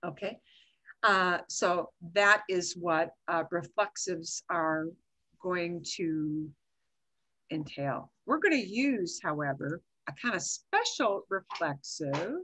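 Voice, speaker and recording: A woman's voice: fairly low pitch, animated, slow pace, bright, forceful tone. A woman in her sixties teaching a Spanish class over a video call on a headset mic, switching between Spanish and English and explaining patiently with small asides.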